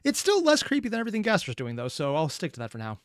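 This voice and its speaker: A man's voice: fairly high pitch, wildly swooping pitch, racing. A man hosting a reaction video, talking casually in a rambling, analytical way.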